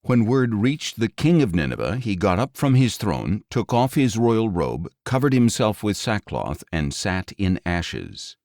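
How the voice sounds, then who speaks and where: Deep-voiced man narrating scripture expressively. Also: sing-song, measured pace, few pauses, darkish tone, no echo, clean studio sound. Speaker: audiobook narrator.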